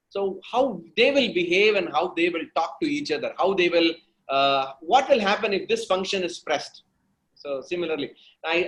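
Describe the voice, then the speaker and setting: A man's voice: fairly high pitch, a bit bright, poor audio. A man with an Indian accent giving conversational answers as an interview guest, teaching emphatically, repeating phrases and checking in with the listener. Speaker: guest speaker, storytelling coach.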